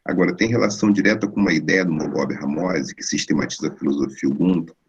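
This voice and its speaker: Deep husky Brazilian man lecturing. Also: fast talker, dull audio. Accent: Brazilian Portuguese. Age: 40s. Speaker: guest philosopher. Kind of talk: online lecture. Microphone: video call.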